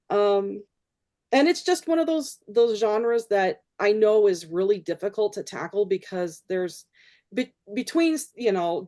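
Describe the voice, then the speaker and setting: Halting woman guest. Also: mid-range voice. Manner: halting, frequent restarts and fillers. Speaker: interview guest, filmmaker. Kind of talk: casual conversational interview.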